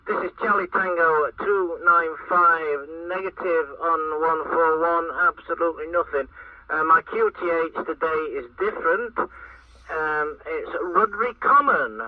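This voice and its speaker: Guy reporting in on cb, thin tinny radio sound, dry, voice a bit high. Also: measured pace, no room sound. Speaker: CB radio operator giving call sign and location. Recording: tinny, dry, band-limited radio link.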